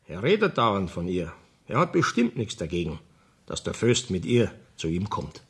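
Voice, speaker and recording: Radio play: calculating police commissioner, formal and persuasive, husky voice with wide melodic swings. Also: male voice, deep voice, big pitch swings, normal speed, fairly bright tone, heavily acted. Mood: coolly persuasive. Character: calculating male police commissioner bargaining with an informant.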